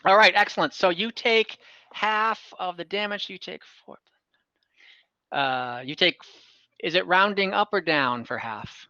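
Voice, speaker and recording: Bright-voiced man running a tabletop game. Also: sits high, animated, unhurried, no room sound, muffled recording. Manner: hesitant, explains rules with many fillers. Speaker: game master. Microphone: computer mic on a video call.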